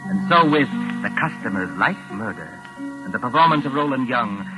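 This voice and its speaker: An actor playing a mysterious, urbane host, narrating a 1940s radio suspense show in a measured, formal, theatrical way. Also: male voice, mid-range voice, animated, close to an everyday voice, poor audio. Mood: ominous, formal. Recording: old 1940s radio broadcast, music underneath.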